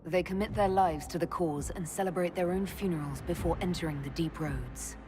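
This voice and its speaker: A woman's voice: on the low side, a little fast, dark tone, a little hiss or hum, close to an everyday voice. An actress playing a composed, direct woman commander in a fantasy video game, with an inquisitive manner. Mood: composed, inquiring. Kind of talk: video game cutscene acting.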